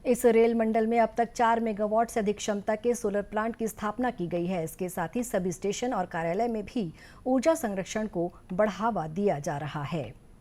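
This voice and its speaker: A woman news presenter reading scripted bulletin items in a formal, measured way. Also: medium pitch, a little mellow.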